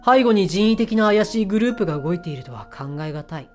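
A woman acting in an audio drama as a bossy, sharp-tongued mission leader, blunt and self-assured, with feminine phrasing. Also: mid-range voice, animated, fast, faint music behind, lightly acted.

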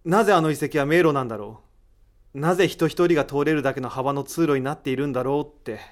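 A man playing a thoughtful, analytical character in a voice drama, deliberate and explanatory, posing rhetorical questions. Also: fairly high pitch, lightly acted. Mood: explaining, intent. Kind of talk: amateur voice drama acting.

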